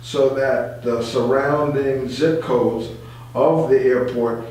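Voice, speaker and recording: A man's voice: mid-range voice, steady tone, takes it slowly, a little mellow. A man speaking as a community advocate guest in an informal radio discussion, explaining and repeating phrases to stress his points. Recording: very echoey room, distant mic, faint noise.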